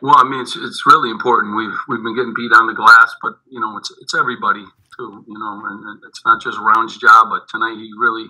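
A middle-aged man, a head basketball coach, answering postgame questions over a video call, halting and repeating his words, with many fillers. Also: fairly low pitch, flat delivery, bright, forceful tone, rough recording. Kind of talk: postgame press conference answers. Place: indoors in front of a team backdrop. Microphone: video call webcam.

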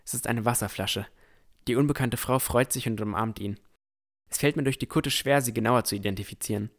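A man playing a dreamy, curious young villager who narrates a fantasy audio drama in measured tones. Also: mid-range voice, close to an everyday voice. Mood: puzzled, tense. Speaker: narrator and lead character.

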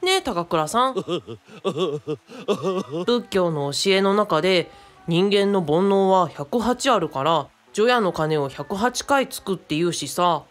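A woman plays a friendly adult companion in a radio drama, with a medium-pitched, animated voice. The character casually explains things to a child, often thinking aloud in a conversational way. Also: slow pace, faint music behind. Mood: explaining.

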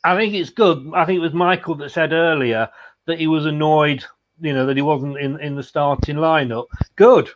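A man in his sixties hosts a football fan chat from home. His voice is a little higher than average, and he speaks conversationally, often repeating words as he works out a thought. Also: normal speed, low-quality recording. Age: 60s. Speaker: fan channel host. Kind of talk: casual football post-match chat. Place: room at home, indoors. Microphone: video call, webcam.

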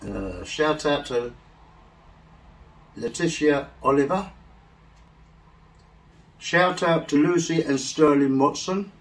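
A middle-aged English man reading shout-outs slowly and carefully in a casual home vlog, seated on a living-room sofa. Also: fairly high pitch, distant mic, low-quality recording. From England. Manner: slow, soft-spoken, careful over names. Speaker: vlog co-host. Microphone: far camera.

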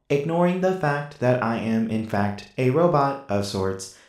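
An actor playing a polite, literal-minded companion android in a sci-fi ASMR roleplay, speaking in a stiff, formal, cold way. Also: male voice, medium pitch, a little mellow. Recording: roomy echo, distant from mic.

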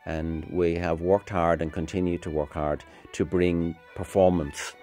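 A middle-aged man talks in a very low voice, reflective and a little halting, as in a documentary interview. Fiddle music plays behind him. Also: little pitch movement, warm tone. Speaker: university music academic.

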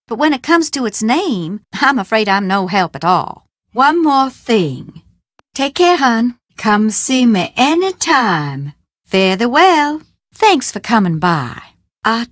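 A woman's voice: mid-range voice, dry recording, studio quality. A woman playing a folksy, superstitious herb-growing Southern woman in a video game, with a theatrical, emphatic drawl. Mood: kindly, then ominous. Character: folksy, superstitious Southern woman who grows hoodoo herbs. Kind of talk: video game character dialogue.

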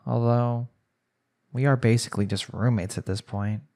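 A man acts out a young newlywed husband who is prone to jealousy, in an intimate audio drama. His voice sits at an average pitch and has a creaky, gravelly grain. He talks conversationally, as if to his wife or family, leaning on his words. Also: steady tone, unhurried, a little mellow, lightly acted. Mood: casual, teasing. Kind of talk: intimate audio roleplay, acted monologue.

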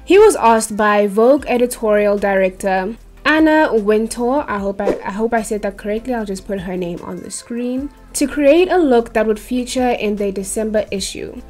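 A woman's voice: fairly high pitch, moderate pace, a little mellow, faint music behind. Bubbly young woman vlogging at her desk. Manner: bubbly, talks to viewers with frequent "okay?". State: losing her voice. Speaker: YouTuber. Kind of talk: casual chatty vlog. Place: small bedroom. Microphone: desk microphone.

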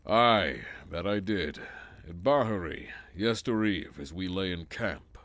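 An actor playing a gruff, scornful barbarian warrior in a fantasy audio drama, blunt and rough-voiced. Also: male voice, low-pitched, animated, slow pace, a bit bright, dry recording, full character voice.

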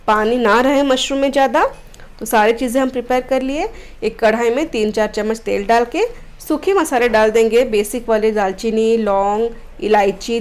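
Higher-pitched woman explaining a recipe. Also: a little mellow. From Odisha, India. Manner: friendly step-by-step instructions, mixing English words. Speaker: cooking channel host. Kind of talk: recipe voice-over narration.

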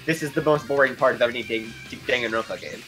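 A man's voice: medium pitch, animated, quick pace, a bit bright. A young man chatting casually and frankly as co-host of a show about a game's story. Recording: music behind the voice. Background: video game music.

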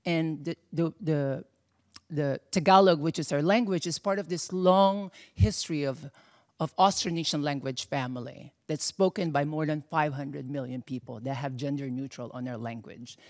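A woman's voice: sits low, moderate pace, a little mellow. A woman from the Philippines speaking passionately on a panel into a desk microphone in a large conference hall, often repeating words as she searches for them.

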